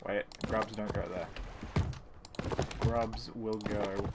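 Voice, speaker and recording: A man's voice: fairly low pitch, level tone, takes it slowly, heavy background noise. A man chatting casually as he live streams a game, by turns muttering to himself and teasing his viewers. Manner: playful, teasing banter with chat. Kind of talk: casual gameplay stream chat.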